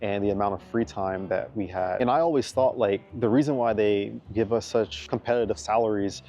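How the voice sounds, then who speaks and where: A man's voice: on the low side, brisk, a little mellow, slight background noise. A man in his thirties from the United States, an English teacher talking casually to camera, chatty and repeating words for emphasis. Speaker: vlogger.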